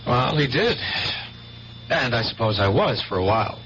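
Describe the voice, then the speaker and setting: An actor playing an earnest, dreamy and evasive painter in a 1970s radio drama, with a halting, dramatic delivery. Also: male voice, mid-range voice, sing-song, a bit bright. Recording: muffled 1970s radio broadcast, faint hum.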